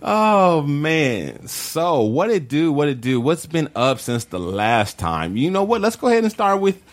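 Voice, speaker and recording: A man's voice: fairly high pitch, sing-song, unhurried. A man hosting a podcast in casual banter, teasing and swearing with loose slang. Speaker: podcast host.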